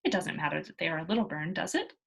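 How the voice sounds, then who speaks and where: Woman voicing Pippi, a cheeky, animated young girl. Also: sits low, fast talker, gravelly, breathy edge, voice pushed down, full character voice. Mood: breezy. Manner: breezy, teasing, exclamatory.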